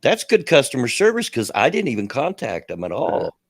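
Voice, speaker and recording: A man's voice: mid-range voice, wildly swooping pitch, a little fast, vocal fry, no echo, clean studio sound. A middle-aged man with a Kentucky and Tennessee redneck accent, hosting a casual livestream and rambling with a self-deprecating laugh. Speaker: livestream host.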